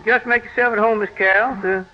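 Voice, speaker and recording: A very high male voice on a dull vintage radio recording plays an obliging librarian. He is chatty and helpful, a period character in a radio play. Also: steady tone, fast, slight background noise. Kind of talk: 1940s radio drama acting.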